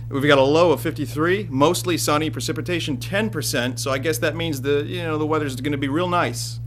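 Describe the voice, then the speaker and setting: A man's voice: on the high side, slight background noise. A man doing a goofy TV weatherman. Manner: jokey, deadpan weather read. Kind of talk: comedic weather segment.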